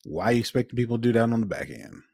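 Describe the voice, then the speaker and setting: A man's voice: mid-range voice, big pitch swings, rapid-fire, vocal fry, a little mellow, breathy edge, no echo, studio quality. A man co-hosting a podcast with conversational commentary, often circling back to rephrase as he goes. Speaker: podcast co-host.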